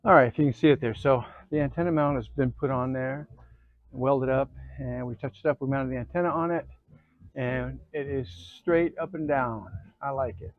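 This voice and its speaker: An American man giving a casual, folksy how-to, often checking in with a quick okay or all right. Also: medium pitch, no echo, phone-quality sound. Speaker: host of an off-road vehicle DIY channel. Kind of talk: casual how-to chat to camera.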